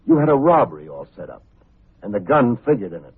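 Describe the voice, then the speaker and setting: A cool, sardonic male detective of classic radio crime drama. His mid-pitched voice is rough and unclear, with clipped, wry delivery. The old recording sounds thin and phone-like. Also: very animated, fast. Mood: needling. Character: wry, hard-boiled male private detective, needling and cool. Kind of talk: old-time radio detective drama acting with first-person narration.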